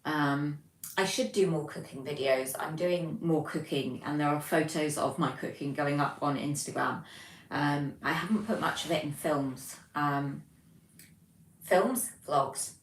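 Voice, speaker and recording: A young British woman hosting a livestream from her flat, reading out chat messages and rambling casually with lots of ums. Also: deep voice, unhurried. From Britain. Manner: chatty, rambling, many ums. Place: home, flat. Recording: echoey room, distant mic, faint noise.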